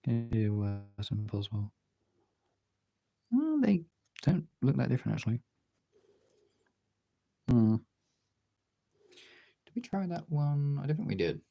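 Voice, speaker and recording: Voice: mid-range voice, very animated, takes it slowly, vocal fry, pauses often, dark tone. A streamer chatting casually while drawing, absent-mindedly thinking aloud in half-muttered remarks.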